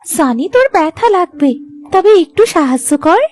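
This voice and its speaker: A woman's voice: very high-pitched, big pitch swings, normal speed, a little mellow, no room sound. A woman playing a tender, sensitive young village daughter-in-law in a cartoon, with a sweet, childlike, pleading manner. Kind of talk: animated moral story acting.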